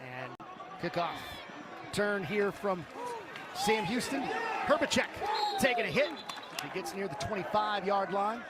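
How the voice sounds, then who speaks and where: A man's voice: high voice, animated, measured pace, pauses often, a loud crowd. A man calling live football play-by-play as a sports commentator.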